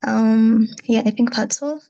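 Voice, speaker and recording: A woman's voice: fairly high pitch, steady tone, a little slow, gravelly, warm tone, dull audio. A woman from Kenya introducing herself hesitantly in an online meeting, with many fillers. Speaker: course participant. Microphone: video call.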